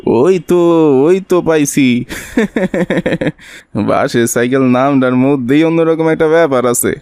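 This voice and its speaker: A man's voice: fairly high pitch, slow pace, a little mellow, no echo. Man acting sly, greedy cartoon bicycle thief. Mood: sly, gloating. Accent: colloquial Bangladeshi Bengali. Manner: sly, scheming, rustic colloquial talk.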